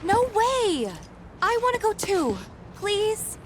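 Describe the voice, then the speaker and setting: A woman's voice: very high voice, sing-song, takes it slowly, exaggerated voice. An actress playing an eager, stubborn teenage girl in a video game, insistent and spirited. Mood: pleading, indignant. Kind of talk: video game cutscene acting. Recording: noticeable ambient noise.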